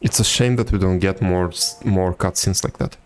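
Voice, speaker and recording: A man's voice: low voice, normal speed, darkish tone. A man casually streaming a game, making dry, offhand comments and reading dialogue aloud.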